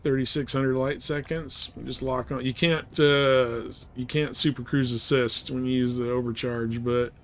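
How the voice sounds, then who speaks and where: A man's voice: medium pitch, steady tone, normal speed, few pauses, fairly bright tone, slight background noise. A man livestreaming a video game with casual commentary, rambling a little as he thinks aloud. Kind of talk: casual live gameplay commentary.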